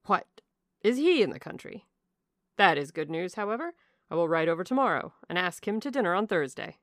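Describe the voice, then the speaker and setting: A woman voicing a jovial, blunt country gentleman in an audiobook of a classic novel, speaking in a bluff, emphatic way. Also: fairly low pitch, very animated, brisk, no echo, clean studio sound. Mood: hearty, pleased. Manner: bluff, emphatic, good-humoured.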